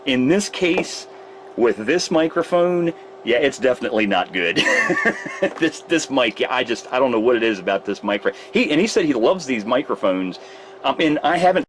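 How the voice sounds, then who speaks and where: A man's voice: mid-range voice, expressive, moderate pace, slight background noise. A man who repairs radios, casually explaining his test setup step by step in a workshop. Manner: rambling, explains gear step by step. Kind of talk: casual technical demonstration. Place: repair shop workbench with test gear.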